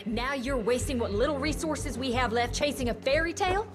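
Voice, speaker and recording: Stern doctor over loud music. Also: female voice, sits high, lively intonation, a little fast. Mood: suspicious, commanding. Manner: curt, authoritative. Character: stern, sceptical female doctor, sharp-tongued and commanding. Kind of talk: video game voice acting. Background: loud game soundtrack music.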